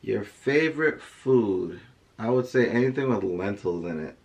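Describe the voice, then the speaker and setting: A man's voice: lowish voice, lively intonation, a little slow. A man chatting casually as the co-host of a family vlog. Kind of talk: casual chat.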